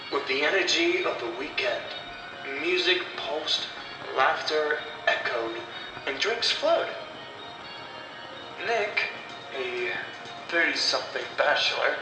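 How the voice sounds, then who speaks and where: A man narrating a scripted horror story as the storyteller, in a deliberate, dramatic way with pauses. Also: mid-range voice. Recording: echoey, distant-sounding voice over music. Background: music.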